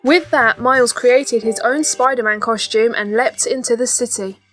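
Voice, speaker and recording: Female storybook narrator, higher-pitched voice, scripted read for young children with light music underneath. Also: normal speed, fairly bright tone. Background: faint background music.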